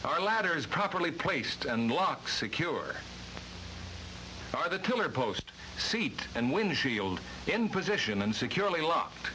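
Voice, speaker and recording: Bright, animated man narrating vintage training film. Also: fairly high pitch, measured pace. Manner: formal, precise, instructive. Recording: noisy worn vintage film soundtrack.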